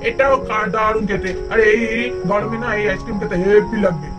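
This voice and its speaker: An eager street ice cream seller from a puppet doll story, played by a man, with an unusually high voice. He calls out persuasive patter from a little way off, over clear music. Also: fairly even intonation, a little fast, lightly acted. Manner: calling out, salesman's patter. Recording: distant mic with music behind.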